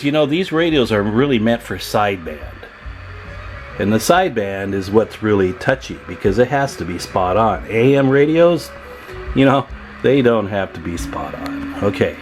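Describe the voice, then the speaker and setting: A man's voice: mid-range voice, poor audio. An American man giving a casual hands-on demonstration as a repair technician, plainspoken and folksy.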